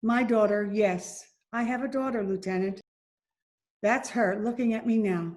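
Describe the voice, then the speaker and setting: A woman acting as a proud, worn-down mother in an old radio play. Her medium-pitched voice is earnest and resigned, coming in short halting phrases. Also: darkish tone, close to an everyday voice. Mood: resigned, confessing.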